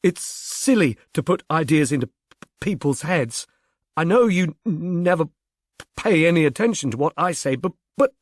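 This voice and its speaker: A man's voice: a little high, animated, moderate pace, dry recording, studio quality, voice pushed up. A man voicing an eager, dim-witted grown son who stammers heavily and blunders on earnestly in an audiobook. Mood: flustered protest. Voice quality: heavy stammer.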